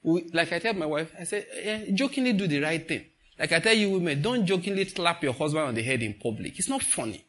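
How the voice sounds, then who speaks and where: A man's voice: on the high side, fast, few pauses. A man with a Nigerian accent preaching informally, telling stories, acting out what people say and slipping into Pidgin. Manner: animated storytelling, acts out quoted speech, slips into Pidgin. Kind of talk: informal sermon with stories and jokes.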